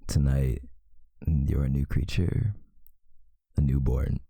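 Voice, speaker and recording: A man voicing a possessive yet tender male vampire lover in an intimate, hushed ASMR roleplay, often slipping into a whisper. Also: steady tone, no echo, studio quality, soft background music, heavily acted. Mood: possessive, proud. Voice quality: very deep, breathy, gravelly, often whispering. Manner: slow, hushed, soothing, seductive.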